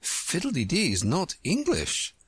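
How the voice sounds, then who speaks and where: Storybook role: sensible, polite little girl, voiced by a reader. Raised pitch, earnest, careful, slightly breathy. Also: huge pitch swings, a little slow, no echo, heavily acted. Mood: firm. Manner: grave, careful, eager to answer.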